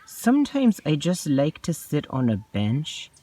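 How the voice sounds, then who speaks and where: A woman voicing a gentle wonder-struck unicorn. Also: deep voice, wildly swooping pitch, full character voice. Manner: slow, soft-spoken, marveling. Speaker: nature-walk guide. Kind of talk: playful toy narration for children. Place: outdoors by a pond.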